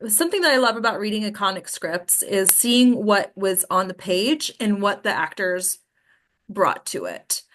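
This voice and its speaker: A woman's voice: medium pitch, lively intonation, moderate pace, fairly bright tone, slight background noise. A woman hosting and coaching actors in a casual, instructive discussion, often checking in with short tag questions. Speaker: host and acting coach leading a discussion.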